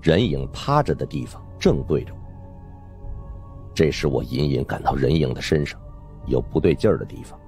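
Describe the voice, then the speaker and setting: A man narrates a suspense audiobook in an extremely low voice. His delivery is dramatic, with wide swings of intonation. Soft music runs behind him. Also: expressive, normal speed, long pauses.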